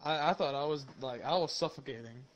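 A man with a fairly high voice tells a story in a loose, informal way, voicing the people in it. It sounds like a gaming party chat, with clear background noise and clicks. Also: a little fast. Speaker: gamer in a party chat telling a childhood story. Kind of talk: casual storytelling over online game chat. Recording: noisy game voice chat with clicks.

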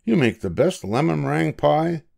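An older man voicing a kind, patient wife who speaks gently and reassuringly. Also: fairly high pitch, big pitch swings, normal speed, gravelly, pitched above the performer's own voice, full character voice. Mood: gentle. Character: kind, patient middle-aged wife, woman.

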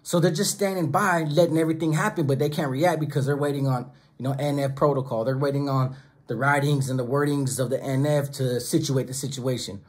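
A man's voice: medium pitch, steady tone, a little fast, breathy edge. A middle-aged man hosting his own channel, talking straight to the camera from a small home studio in an emphatic, preachy way, often stacking phrases in lists. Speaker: YouTube channel host. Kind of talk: direct-to-camera commentary. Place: small home studio room with monitors and speakers. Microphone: close camera, seated at desk.

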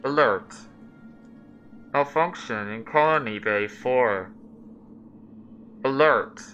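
A man voicing a ship's computer making a slow, clipped emergency announcement in a tabletop game. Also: mid-range voice, bright, forceful tone, muffled recording, soft background music, voice pushed up. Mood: urgent, impersonal.

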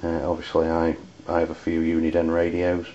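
An English man demonstrating hobby gear in a halting, hesitant way. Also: low voice, completely flat delivery, mellow, highs cut off. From United Kingdom. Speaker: CB radio enthusiast reviewing gear.

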